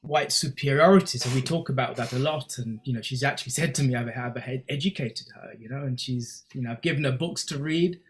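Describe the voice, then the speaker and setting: A man's voice: medium pitch, brisk, hardly any pauses. A man on an online panel recounting a personal experience, hesitant, repeating words and using fillers. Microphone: video call.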